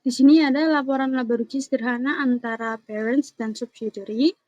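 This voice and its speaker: A young woman gives a class presentation. Her voice is fairly high yet mellow and dark. The recording is dry and somewhat dull. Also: steady tone, fairly quick, dry recording. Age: young adult. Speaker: student presenter.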